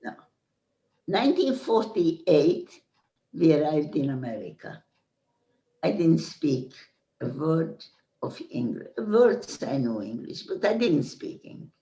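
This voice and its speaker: A woman in her nineties with a Hungarian accent, giving slow, halting testimony as a survivor. She often restarts phrases and checks in with "Okay?". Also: sits low, big pitch swings, dark tone, lo-fi sound. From Hungarian-speaking Central Europe. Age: 90s. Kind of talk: slow personal recollection. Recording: roomy echo.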